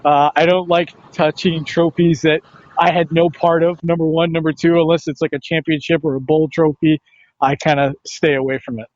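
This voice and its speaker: A man who works as a play-by-play announcer answers questions in a conversational sports interview, with halting phrasing and repeated words. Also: fairly high pitch, level tone, no room sound. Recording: dull, lo-fi, band-limited sound.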